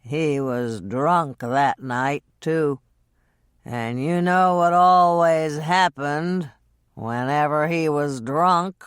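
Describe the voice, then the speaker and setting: A woman's voice: fairly low pitch, very animated, a bit bright, no room sound, clean studio sound, full character voice. A woman doing a slurring drunk man. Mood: drunk, brooding. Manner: slow, slurred drunken drawl. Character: drunk, brooding adult man, an actor in a scene.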